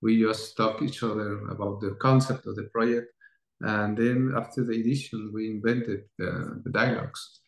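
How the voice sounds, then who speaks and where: A man in his thirties whose first language is Mixtec, speaking English haltingly and searching for words as a producer in a festival Q&A. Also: fairly low pitch, steady tone, vocal fry. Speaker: film producer and actor. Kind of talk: festival Q&A over video call. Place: home room, indoors. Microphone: video call. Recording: roomy video call audio.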